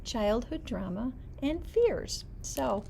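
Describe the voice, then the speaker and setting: A woman's voice: a little high, big pitch swings, slow pace, gravelly, warm tone, slight background noise. A woman, a librarian, reading out book summaries and adding casual comments of her own. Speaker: librarian presenting book picks.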